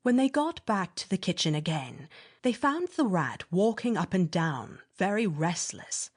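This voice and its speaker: A woman's voice: fairly low pitch, big pitch swings, measured pace. A woman reading scripted narration for a classic fiction audiobook.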